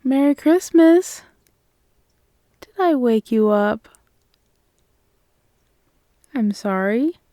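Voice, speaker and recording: A woman playing a loving, playful girlfriend in an ASMR roleplay, speaking in a soft, cooing, teasing way. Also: a little high, animated, drawn-out words, long pauses, no echo, studio quality. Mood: tender, playful. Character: loving, playful, affectionate girlfriend waking her partner.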